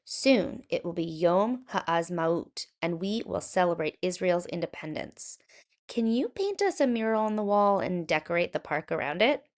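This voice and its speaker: A woman voicing a cheerful, enthusiastic town mayor in a children's picture book, in an animated, proclaiming manner. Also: fairly low pitch, normal speed.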